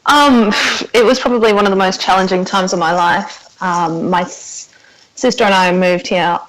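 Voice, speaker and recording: A woman's voice: mid-range voice, fairly even intonation, normal speed, a bit bright, low-quality recording. A young woman with an Australian accent, originally from South Africa, chatting casually as a podcast guest and laughing often. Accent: Australian with South African roots. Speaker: personal trainer, podcast guest. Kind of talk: casual interview chat. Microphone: remote call.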